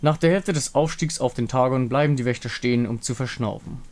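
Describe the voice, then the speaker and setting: A man's voice: medium pitch, a little fast, darkish tone. A man narrating a fantasy game story as a scripted, dramatic reading. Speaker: narrator voicing a visual novel. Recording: music behind the voice. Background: music.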